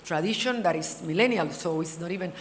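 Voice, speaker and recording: A woman's voice: lowish voice, a little fast. A middle-aged woman from Cuba, a native Spanish speaker with a Cuban accent, talking conversationally and animatedly as a panel speaker. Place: large indoor hall at a summit. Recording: reverberant hall with faint background noise.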